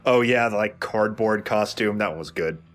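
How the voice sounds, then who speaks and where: A man with a fairly low voice gives casual gameplay commentary as a co-host. He explains things informally and jokes along. Soft game music sits behind him. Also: normal speed. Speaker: let's play co-host.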